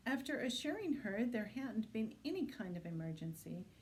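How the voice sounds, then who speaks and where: A woman's voice: fairly high pitch, big pitch swings, normal speed, a little mellow, slight background noise. A woman reading a suspense novel aloud in the style of an audiobook, as a library reader.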